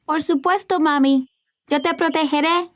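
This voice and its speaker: A woman's voice: high-pitched, fairly even intonation, a little slow, a bit bright, dry recording. A woman voicing an eager, earnest four-year-old boy in a children's cartoon dub. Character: eager, polite four-year-old boy, brave but easily scared.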